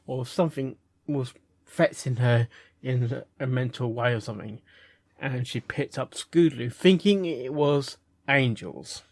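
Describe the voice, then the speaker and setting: A man giving casual, unscripted reaction commentary as a host, halting and rambling, often repeating words and phrases. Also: mid-range voice, no room sound, clean studio sound. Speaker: host reviewing fan fiction readings.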